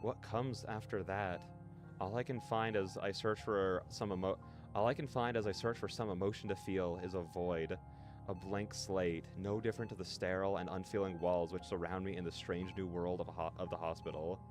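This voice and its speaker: Guy with a lowish voice reading a visual novel out loud on stream, faint music. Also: fairly even intonation, moderate pace. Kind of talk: dramatic read-aloud narration with casual reactions.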